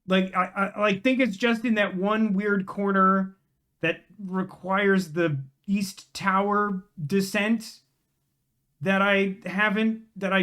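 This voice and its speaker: A man's voice: high-pitched, a bit bright, clean tone. A man chatting casually on a live game stream, rambling and emphatic, with slangy phrasing.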